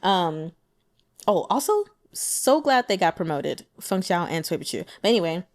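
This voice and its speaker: A woman talking casually through her notes on a book, in a chatty, giggly and animated manner. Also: on the low side, normal speed. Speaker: audiobook reader and book commentator.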